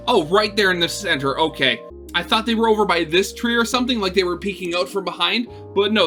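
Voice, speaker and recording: A man's voice: high-pitched, fairly bright tone. A man narrating a visual novel aloud as a gaming host, switching between storybook-like reading and offhand, sarcastic commentary.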